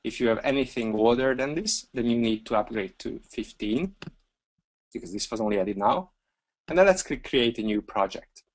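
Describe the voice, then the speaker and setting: Man with a Hungarian accent explaining software. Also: mid-range voice, moderate pace. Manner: relaxed step-by-step explaining with fillers. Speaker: software trainer. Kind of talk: webinar screencast demo.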